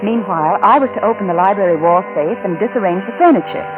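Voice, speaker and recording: An actress playing a scheming, adulterous wife who is coolly manipulative and falsely tender, in a 1940s radio drama style. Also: female voice, medium pitch, lively intonation, fast talker, lightly acted. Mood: cold, calculating. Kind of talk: 1940s radio drama acting with confessional narration. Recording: old lo-fi radio recording with music.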